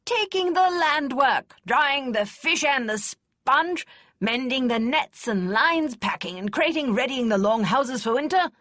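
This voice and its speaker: A woman booming as a blunt old noblewoman. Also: lively intonation, measured pace, fairly bright tone, dry recording. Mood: hearty. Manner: booming, teasing, emphatic. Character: gruff blunt older noblewoman, loud and hearty. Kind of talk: audiobook character voice.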